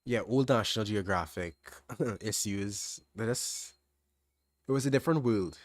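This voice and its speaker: A young man with a mild Trinidadian accent answering chat questions on a livestream, relaxed, thinking aloud with restarts. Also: on the low side, measured pace, no room sound, studio quality. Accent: Trinidadian, fairly standard. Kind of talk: casual livestream Q&A.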